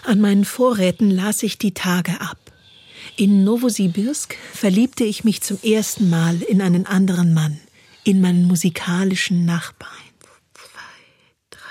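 A woman's voice: mid-range voice, dark tone, husky, no room sound, clean studio sound. A woman reading literary prose and poetry as a scripted narration. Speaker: reader. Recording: music playing behind the voice. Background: music.

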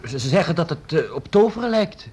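An actor playing a loyal, earnest young apprentice in a radio play, stammering at times. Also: male voice, fairly high pitch, very animated, a little fast, darkish tone, husky. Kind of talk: radio drama acting.

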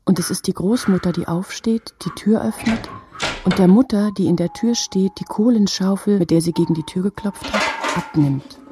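A woman narrating a literary radio drama in a husky, breathy voice, with halting, fragmented phrasing. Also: mid-range voice, noisy background.

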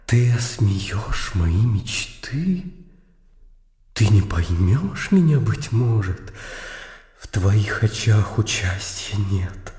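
An actor playing a nervous, passionate young poet in a theatrical radio drama, hesitant and impassioned. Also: male voice, lowish voice, expressive, drawn-out words, a little mellow. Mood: fervent, anguished. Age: young adult. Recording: echoey hall-like reverb.

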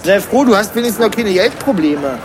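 A man's voice: high voice, animated, a little fast, a little hiss or hum. An actor playing an indignant man out drinking with friends in a comedic radio play, rambling and stammering. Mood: mock-fatherly, sceptical. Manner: rambling, repetitive, stammering when worked up.

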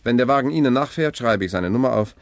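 An actor playing a cool, gallant journalist who also narrates, in a 1960s German radio crime drama, with a dry, laconic delivery. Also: male voice, mid-range voice, animated, quick pace, natural voice. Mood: calm, resolute. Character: cool, gallant male journalist, hero and first-person narrator.

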